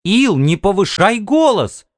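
A man's voice: high-pitched, sing-song, unhurried, no echo, voice pushed up. A man voicing a timid, evasive wife, hesitant and placating, in a dramatised audiobook. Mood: nervous, pleading.

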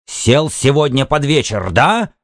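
Guy doing a domineering jealous husband for an audiobook, stern n demanding, pitch moves a lot. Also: big pitch swings, unhurried, dry recording, clean studio sound. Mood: angry, interrogating. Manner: irritable, demanding, interrogating.

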